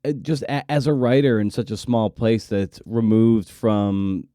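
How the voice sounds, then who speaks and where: A man hosting a casual podcast interview, putting questions in a halting, searching way. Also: on the low side, fairly even intonation, dark tone, clear, resonant tone, dry recording, studio quality.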